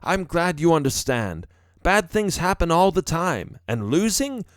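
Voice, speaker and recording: A man's voice: a little high, big pitch swings, a little slow, dry recording, clean studio sound, voice pushed up. A man voicing a storybook lab rat professor, earnest, fretful and fatherly, with an emphatic delivery. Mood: fatherly, reassuring. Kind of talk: storybook character voice.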